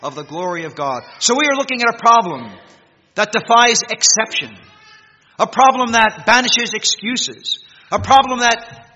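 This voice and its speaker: An American man preaching a sermon in a church meeting hall, reading scripture and stressing words emphatically, swinging between loud peaks and quiet drops. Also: extremely high pitch, lively intonation, normal speed. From United States. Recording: echoey hall, dull lo-fi sound.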